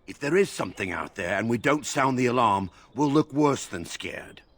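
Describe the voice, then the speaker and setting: An actor playing a nervous, dim castle guard with a rough voice in a fantasy video game. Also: male voice, medium pitch, animated, normal speed, fairly bright tone. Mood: worried. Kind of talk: video game cutscene acting.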